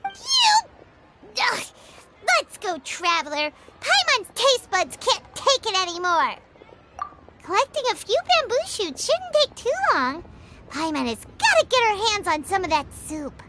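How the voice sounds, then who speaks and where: A woman voicing a tiny, excitable floating girl companion in a video game who talks about herself in the third person. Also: a little slow, very noisy, exaggerated voice. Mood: excited. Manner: speaks of herself in third person, squeaky and bouncy. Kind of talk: video game cutscene dialogue. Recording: game audio over loud music.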